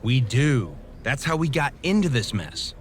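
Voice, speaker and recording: A man's voice: mid-range voice, sing-song, a little slow. A man playing an exasperated, sardonic pro wrestler hero in a video game cutscene, with dry, sceptical delivery.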